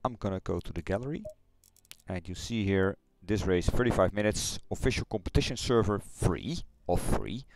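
A middle-aged man with a Dutch accent, chatting loosely through a replay of his racing game run, halting and correcting himself as he goes. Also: sits low, very animated, gravelly, darkish tone. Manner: halting, rambling, self-correcting. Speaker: sim racing streamer. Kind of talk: casual replay commentary. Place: home gaming room.

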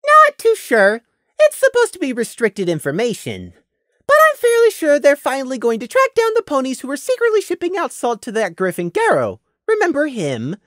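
A woman's voice: high-pitched, huge pitch swings, moderate pace, fairly bright tone, no echo, studio quality, pitched above the performer's own voice, full character voice. A woman voicing a small, nervous male lamb who works as a guard clerk, polite but evasive. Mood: guarded politeness.

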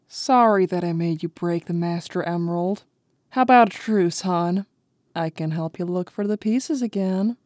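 A woman's voice: lowish voice, moderate pace, a little mellow, no echo, clean studio sound, close to an everyday voice. A woman voicing a flirty, teasing bat thief in a cartoon comic dub, coy and playful. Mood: coaxing. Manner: coy, playful, drawling endearments.

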